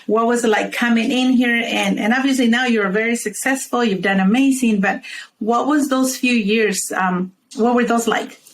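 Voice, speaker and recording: Woman, podcast host, encouraging, rambling questions, slightly high voice. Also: steady tone, normal speed. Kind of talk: casual interview talk.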